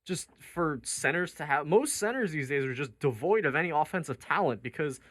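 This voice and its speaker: A man's voice: fairly high pitch, expressive, a little fast, a bit bright, no room sound. A man hosting sports talk, reasoning conversationally through counterpoints in a basketball debate. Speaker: sports radio talk show host.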